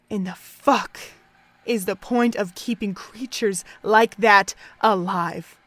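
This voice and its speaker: A woman acts out a cold female pony overseer in a radio play. She speaks with deliberate, theatrical contempt, in a mid-pitched voice. Her scorn swells into intensity and settles back into measured menace. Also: dry recording, close to an everyday voice. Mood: scornful, intense. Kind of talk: dramatic audio drama acting.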